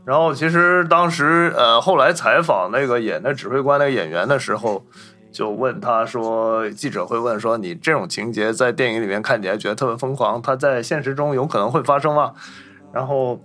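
A man's voice: medium pitch, a little fast, bright, forceful tone, faint music behind. A man hosting a casual film discussion, telling anecdotes in an explanatory, conversational way. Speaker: podcast host.

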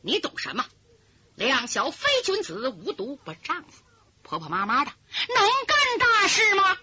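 A woman's voice: extremely high pitch, very animated, slow pace, very noisy, pitched above the performer's own voice, full character voice. A woman voicing a ruthless, scheming swordswoman in disguise who scolds harshly in a cold manner, on a lo-fi recording. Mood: scornful.

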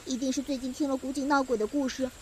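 A woman's voice: high voice, fairly even intonation, fast talker, a little hiss or hum, natural voice, rough recording. A woman playing a scatterbrained, gossipy young servant girl in a costume radio drama. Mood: flustered, gossipy.

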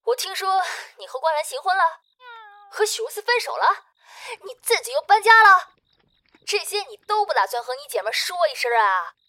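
A young woman who is someone's lifelong best friend in an audio drama. Her voice is high and bright with very lively melody. She comes across as pushy, outspoken and caring, recorded dry. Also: fairly quick, dry recording, exaggerated voice. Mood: indignant. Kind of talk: modern audio drama acting.

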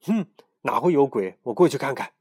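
A man voicing a calm, skeptical and caring hen who speaks softly and gently. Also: medium pitch, a little fast, slightly rough, no room sound, clean studio sound, pitched above the performer's own voice. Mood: gentle.

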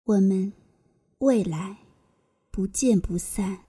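A woman narrating an audio drama from a script in a hushed, measured way. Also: mid-range voice, breathy edge.

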